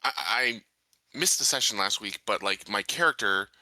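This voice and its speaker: Bright, sharp-edged low male voice. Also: lively intonation, normal speed, dry recording. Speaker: tabletop game player. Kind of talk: casual chat.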